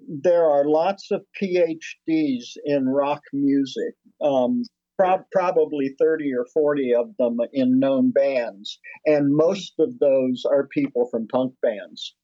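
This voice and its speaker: A man's voice: a little high, slow, dark tone, no echo, lo-fi sound. A man in his seventies, a musician and producer, telling his story in a casual interview with many restarts and fillers. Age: 70s.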